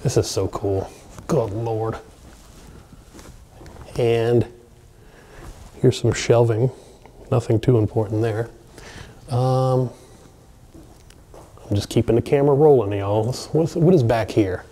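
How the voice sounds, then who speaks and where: A man excitedly narrating a walkthrough of an empty mansion, chatting to viewers as he films. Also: medium pitch, takes it slowly, long pauses. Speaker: abandoned places explorer. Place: large empty mansion, indoors.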